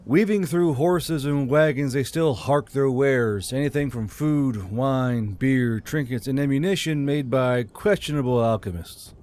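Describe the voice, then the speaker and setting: A man's voice: medium pitch, a little mellow. A man reading a scripted fantasy audiobook as host and narrator of his channel.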